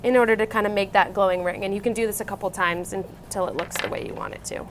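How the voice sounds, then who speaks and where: A woman's voice: mid-range voice, fairly even intonation, fast talker. A woman co-hosting a craft show, demonstrating steps casually and chatting around a table indoors. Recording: noisy room with table sounds.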